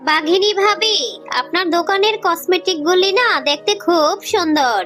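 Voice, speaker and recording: A woman's voice: extremely high pitch, moderate pace, a bit bright, faint music behind. A woman voicing a poor cartoon fox mother, patient but firm with her daughter. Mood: coaxing. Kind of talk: children's cartoon voice acting.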